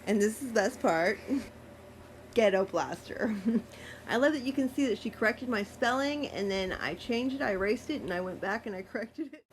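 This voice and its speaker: Higher-voiced woman reading informally, amused. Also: slight background noise, rough recording. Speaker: author reading own childhood story. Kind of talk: informal read-aloud with amused commentary.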